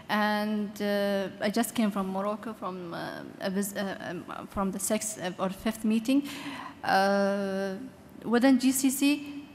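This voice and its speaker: Woman speaking in a reverberant hall. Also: medium pitch. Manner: earnest, halting with frequent restarts. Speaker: panelist, aviation environment official. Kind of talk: conference panel answer. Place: large conference hall. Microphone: PA microphone. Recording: reverberant hall with faint noise.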